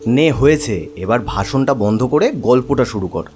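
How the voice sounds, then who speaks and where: A man playing a teasing, impatient friend with a husky voice, bantering and prodding in an animated story. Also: medium pitch, moderate pace, slight background noise, close to an everyday voice. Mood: teasing, curious. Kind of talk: dramatized animated horror story. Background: rain.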